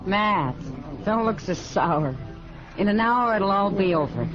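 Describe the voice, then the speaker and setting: A woman's voice: mid-range voice, expressive, measured pace, close to an everyday voice. An actress playing a knowing, teasing frontier woman in an old radio Western, wry and familiar in manner. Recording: dull vintage radio recording with music.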